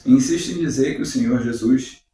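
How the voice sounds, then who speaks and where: Mellow man in an echoey room. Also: medium pitch. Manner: measured, uses rhetorical questions. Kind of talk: reflective devotional talk. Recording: very echoey room, distant mic.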